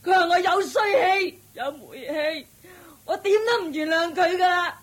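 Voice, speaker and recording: A high-pitched, wailing old Cantonese grandmother. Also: unhurried. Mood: tearful, indignant. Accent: Hong Kong Cantonese. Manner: melodramatic, wailing, repeating phrases. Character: aggrieved, vengeful old Chinese mother-in-law and grandmother. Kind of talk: Cantonese Buddhist radio drama acting.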